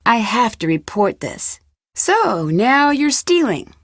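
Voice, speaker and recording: A young American woman detective in a mystery game. Her voice sits a little high and she speaks clearly, with a polite, questioning manner. The scripted lines are captured dry, with hardly any room sound. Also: very animated, slow pace, no echo, exaggerated voice. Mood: firm, accusing. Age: young adult. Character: young female amateur detective, polite, inquisitive, composed. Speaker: voice actress in a detective adventure game.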